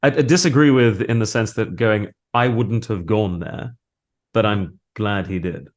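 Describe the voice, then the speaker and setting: A man chatting casually as an interview guest in a halting, thoughtful way. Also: lowish voice. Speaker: interview guest, voice actor and audiobook narrator.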